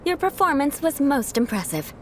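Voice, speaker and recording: A woman's voice: high-pitched, sing-song, normal speed, slight background noise. A woman playing a haughty, elegant young noblewoman knight in a video game, speaking formally with aloof poise and a teasing, vengeful edge. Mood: composed, critical. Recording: game music behind the voice.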